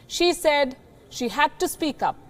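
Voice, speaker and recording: A woman news anchor reading a scripted report and interviewing a guest, measured and crisp. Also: high-pitched, lively intonation. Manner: crisp, measured newsreader delivery.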